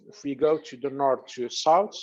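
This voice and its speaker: A man from Morocco with a Moroccan accent, speaking in halting, non-native phrasing as a panelist on a video call webinar. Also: medium pitch, a little mellow, muffled recording. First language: Arabic. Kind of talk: casual video call chat.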